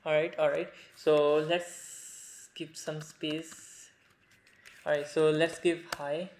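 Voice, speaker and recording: A young man with an Indian accent, a native Hindi speaker, walks through a programming project at his computer. His voice sits slightly high and stays flat in pitch. He explains plainly and often pauses on uh. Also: slight background noise. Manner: plain, explanatory, frequent uh fillers. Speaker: programming tutor. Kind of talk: screen-recorded coding walkthrough.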